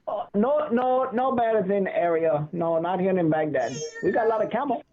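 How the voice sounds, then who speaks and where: A man playing a cheeky, deadpan man from Baghdad who speaks mock broken English, on a phone line. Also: sits high, moderate pace. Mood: joking. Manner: deadpan joking, broken phrasing.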